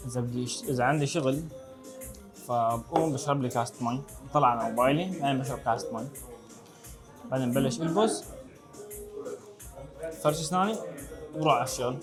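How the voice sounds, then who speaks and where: A man's voice: mid-range voice, quick pace, long pauses, music in the background. A man in his early twenties with a Levantine Arabic accent, chatting casually as a vlog co-host. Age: early 20s. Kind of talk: casual chat.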